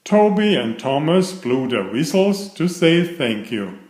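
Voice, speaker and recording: A man's voice: a little high, very animated, slow, a little mellow, echoey room. A man narrating a children's story, reading aloud from a script.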